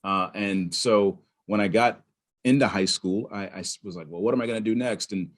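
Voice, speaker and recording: A man from Pittsburgh, a podcast guest, telling his story in an animated, conversational way with frequent restarts. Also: lowish voice, normal speed. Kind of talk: conversational interview.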